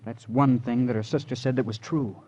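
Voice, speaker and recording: A troubled, resentful young man played by a radio actor, halting and repetitive in a classic crime drama. His voice is soft-edged and dark. The vintage recording is dull, with faint hiss. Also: mid-range voice, fairly even intonation, lightly acted. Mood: brooding, devoted. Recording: muffled, hissy old radio recording.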